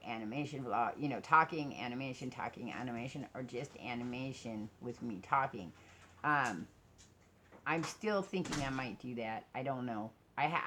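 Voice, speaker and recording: A woman with a strikingly low voice talks in a casual personal vlog. She rambles informally and candidly, drifting between thoughts and often changing track mid-sentence. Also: fairly bright tone, a little hiss or hum. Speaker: vlogger.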